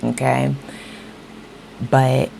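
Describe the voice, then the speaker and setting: A woman giving a casual tarot reading straight to her viewers, a little hoarse as she is losing her voice, often checking in with "okay?". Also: very deep voice, flat delivery, drawn-out words, warm tone, a little hiss or hum. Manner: talks straight to viewers, repeats "okay?" and "you know". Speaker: tarot reader.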